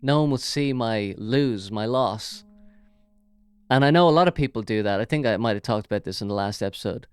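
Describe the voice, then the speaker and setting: A man's voice: on the low side, brisk, clean tone, no echo, soft background music. An Irish man hosting a casual solo podcast, rambling and jokey with lots of fillers, speaking into a big studio microphone. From Ireland. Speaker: podcast host.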